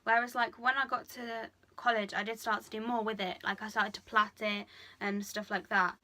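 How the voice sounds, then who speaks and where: A young woman talking frankly to camera in a personal vlog, chatty and full of fillers, speaking a little lower than she usually does. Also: flat delivery, normal speed, pushed and bright. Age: young adult. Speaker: vlogger. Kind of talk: candid personal confession.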